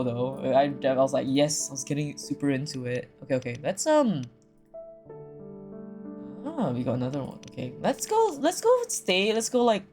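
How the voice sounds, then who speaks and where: A woman livestreaming a game with casual, excitable commentary, often repeating her phrases. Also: deep voice. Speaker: streamer. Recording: music behind the voice. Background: game music.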